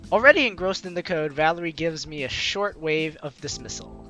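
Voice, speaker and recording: A man's voice: a little high, a little fast, pushed and bright. A young man hosting a let's play, reading game text aloud with informal, jokey asides. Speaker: host of a gaming let's play series. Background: game music.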